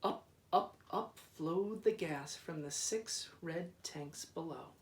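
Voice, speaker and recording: A man gives an expressive read-aloud of a children's story. His pace is very unhurried and deliberate, with drama in the phrasing. The sound is echoey and picked up from some distance. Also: fairly high pitch. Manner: deliberate, dramatic, drawn-out repeated words. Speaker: school principal reading aloud. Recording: roomy echo, distant microphone.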